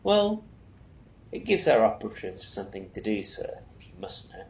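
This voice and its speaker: A man's voice: fairly low pitch, big pitch swings, moderate pace, creaky. A man voicing an obliging, deferential salesman from a story, formal and fussily apologetic in manner. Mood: confiding. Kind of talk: storybook character voice. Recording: roomy echo, faint noise.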